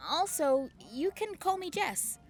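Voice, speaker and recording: A woman's voice: extremely high pitch, lively intonation, measured pace. A woman playing a confident, flirty army officer in an audio drama, teasing and reassuring. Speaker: lieutenant colonel.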